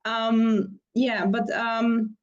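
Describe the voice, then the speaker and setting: A woman with a Polish accent introducing herself hesitantly on a video call, with many pauses. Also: a little high, completely flat delivery, muffled recording. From Poland. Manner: hesitant, many fillers and restarts. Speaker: class participant.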